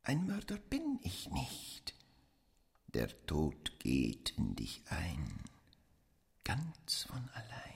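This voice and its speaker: An actor playing a menacing killer who sweetly disclaims his intent, in a solemn, half-whispered, incantatory radio play monologue with a hoarse, breathy voice. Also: low voice, exaggerated voice. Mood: sinister. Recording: long cavernous reverb.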